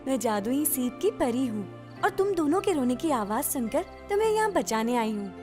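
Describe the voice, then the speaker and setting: A woman voicing a kind magic seashell fairy in a children's cartoon, reassuring and gentle. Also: high voice, very animated, normal speed. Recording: faint music under the voice.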